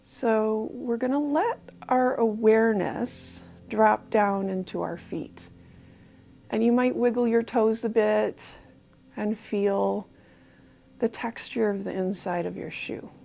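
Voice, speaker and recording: A woman with an American accent, slowly guiding a body scan meditation in a soft, calm voice. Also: on the high side, gravelly. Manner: slow, soft-spoken, calm guidance with pauses. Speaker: mindfulness guide.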